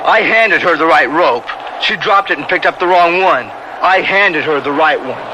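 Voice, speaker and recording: Brief: man as quarrelsome, sullen youngster in radio drama, fairly high, noisy backdrop. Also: normal speed, dull audio, lightly acted. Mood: defensive. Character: sulky, stubborn boy, defensive and quarrelsome.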